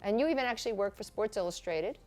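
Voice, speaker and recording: A woman's voice: mid-range voice, quick pace, a little mellow. A woman hosting a casual interview, chatty and prompting, often finishing her guest's sentences. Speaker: host.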